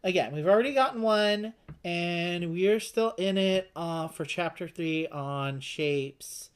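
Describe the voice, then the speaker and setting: A board game co-host with a medium-pitched voice talks at a slow pace. The delivery is casual commentary on rules and choices, as to a fellow player at the table. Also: clean tone.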